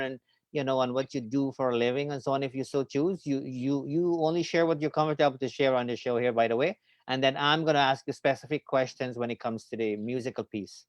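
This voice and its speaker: A man's voice: medium pitch, no echo. A middle-aged man hosting an interview show over a video call from home, friendly and rambling with many fillers. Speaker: radio show host. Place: home room with trophies and bookshelf. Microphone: video call webcam.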